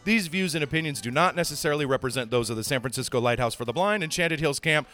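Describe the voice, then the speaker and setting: A man hosting a podcast, giving an upbeat, energetic introduction. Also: a little high, quick pace, faint music behind. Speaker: podcast host.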